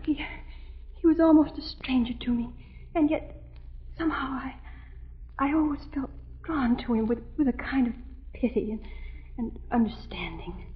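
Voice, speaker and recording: An actress in a 1940s radio drama playing an innocent, soft-hearted young opera singer, breathless and melodramatic. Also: female voice, high-pitched, moderate pace, pauses often, narrow, tinny audio, lightly acted. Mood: wistful, pitying.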